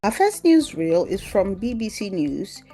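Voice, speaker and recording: Woman newsreel presenter, medium pitch, reading out a news story, some music behind. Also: big pitch swings, measured pace, warm tone, low-quality recording. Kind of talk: scripted news narration, reading an article aloud.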